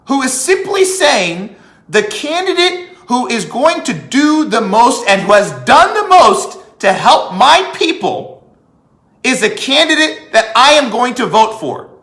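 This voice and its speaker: A man's voice: extremely high pitch, big pitch swings, slow pace, bright, forceful tone. A man giving opinionated commentary as a vlog host, conversational and emphatic, often leaning on rhetorical questions and repetition. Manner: conversational, often checking in with "okay" and "right". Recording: echoey room.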